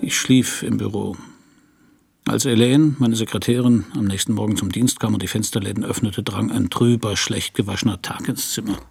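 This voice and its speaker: A man playing a world-weary private detective who narrates his case in a hardboiled radio crime play, dry and laconic, with a husky, gravelly voice. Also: lowish voice, steady tone, normal speed, a little mellow. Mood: wry, brooding. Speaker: narrator and lead actor.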